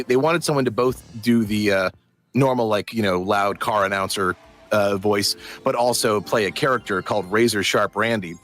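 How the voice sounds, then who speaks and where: A man's voice: mid-range voice, fairly bright tone. A man giving casual interview answers as a voice actor, in a hesitant, rambling and modest way. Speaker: voice actor and musician.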